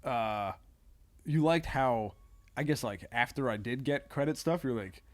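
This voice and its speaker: A man talking casually as a comedian guest on a podcast, halting and self-deprecating. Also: medium pitch, expressive.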